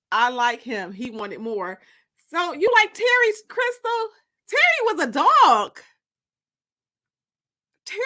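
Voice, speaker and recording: A woman's voice: sits high, sharp tone. A woman hosting a casual livestream, chatting informally and gossipily with viewers and repeating phrases for emphasis. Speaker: host of a live-streamed story time.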